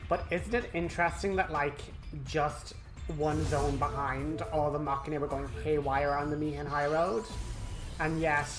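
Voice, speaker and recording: A man's voice: a little high, a bit bright. A man hosting a game walkthrough in a casual, chatty style with wry asides. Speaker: gaming walkthrough host.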